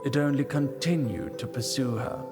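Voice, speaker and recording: A man's voice: medium pitch, expressive, moderate pace, over music. A man giving solemn, dramatic scripted narration of a fantasy story.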